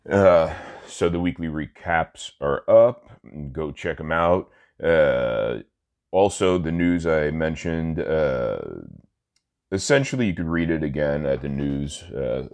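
A man with an extremely low, deep voice hosts a laid-back solo podcast. His nose is stuffed up from allergies. He drifts along with lots of "uh"s and corrects himself as he goes. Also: vocal fry. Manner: rambling, many "uh" fillers and self-corrections; relaxed, smoking while talking. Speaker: podcast host. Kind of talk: casual solo podcast talk.

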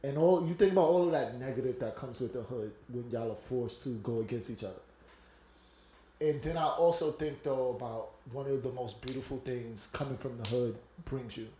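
A man's voice: mid-range voice, fairly quick, mellow, reverberant, a little hiss or hum. A man from Brooklyn, co-hosting a podcast and telling personal stories in an earnest, reflective way. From Brooklyn, New York. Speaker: podcast co-host. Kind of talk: casual podcast chat.

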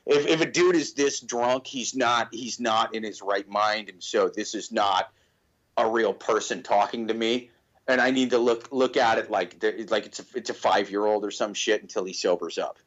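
A man's voice: lowish voice, fairly bright tone, highs cut off. A man co-hosting a podcast, stammering and joking through casual chat. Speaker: podcast co-host.

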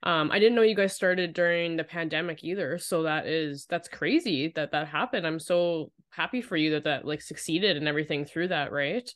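Woman hosting a podcast, low voice, chatty and laughy, super upbeat. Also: moderate pace, hardly any pauses. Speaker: podcast host. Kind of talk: casual podcast interview.

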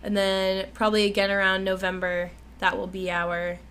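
A woman's voice: medium pitch, monotone, moderate pace, fairly bright tone, a little hiss or hum. A young woman co-hosting a podcast, chatting casually with fillers, restarts and frequent laughs. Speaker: podcast co-host. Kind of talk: casual chat.

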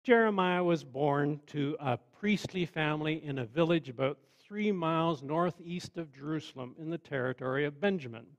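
A man preaching a sermon in a measured, conversational way with wry asides. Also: a little high, muffled recording. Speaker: pastor.

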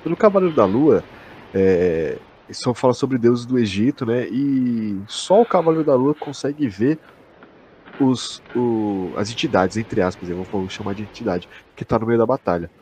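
A man's voice: mid-range voice, animated, moderate pace, a little mellow, slight background noise. A Brazilian man co-hosting a podcast, chatting casually in slang and addressing his partner like a buddy. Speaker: podcast co-host. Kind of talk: casual chat, theorizing.

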